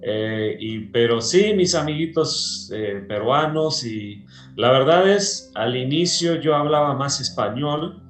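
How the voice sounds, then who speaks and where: A man from Peru, a pastor, chatting in an interview, slow and hesitant with many fillers. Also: mid-range voice, expressive, echoey room, soft background music. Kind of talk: casual interview chat.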